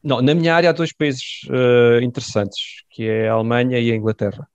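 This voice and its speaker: A middle-aged man with a European Portuguese accent, answering interview questions in a measured, hesitant way with many pauses. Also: mid-range voice, fairly even intonation, clean tone. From born in France, raised in Portugal. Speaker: guest. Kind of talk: conversational interview. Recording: dull dry lo-fi sound.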